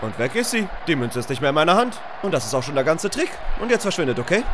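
A man's voice: a little high, big pitch swings, quick pace, crowd noise, background noise. A man voicing an awkward, pestering male referee in a parody dub, rambling, hesitant and repetitive as he shows off. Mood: awkward, showing off.

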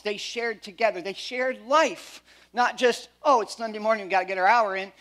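High-voiced man preaching a sermon. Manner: animated, pacing the stage, shifts between loud emphasis and quiet asides. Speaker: pastor. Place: church stage.

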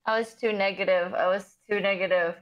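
Excitable dramatic woman streamer. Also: medium pitch, brisk, highs cut off. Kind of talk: casual group chat.

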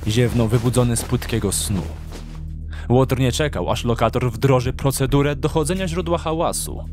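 Male narrator for a radio play, medium register, measured storytelling over a music bed. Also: medium pitch. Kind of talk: scripted audio drama narration.

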